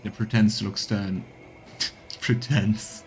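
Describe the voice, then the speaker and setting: A man's voice: fairly low pitch, little pitch movement, slow, soft background music. A man streaming a game, reading story text aloud and adding casual asides. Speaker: streamer narrating a let's play.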